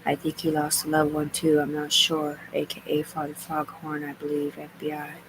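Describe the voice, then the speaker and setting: A woman's voice: low-pitched, monotone, moderate pace, noisy background. A woman livestreaming, rambling as she reads aloud and makes asides to herself and her viewers. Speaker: live streamer.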